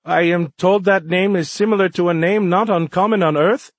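Earnest, high-pitched young alien. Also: male voice, fairly even intonation, normal speed, no room sound. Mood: puzzled. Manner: formal, earnest, eager. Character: earnest, formal, easily puzzled young male alien liaison. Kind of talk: audiobook character voice.

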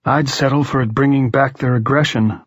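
Male reader as a dry academic; husky, dull recording. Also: medium pitch, steady tone, moderate pace, no room sound, pitched above the performer's own voice, lightly acted.

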